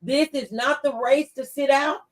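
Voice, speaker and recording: A woman's voice: sits high, steady tone, a little slow, far from the mic. A woman hosting a talk show, speaking conversationally and earnestly and repeating phrases for emphasis.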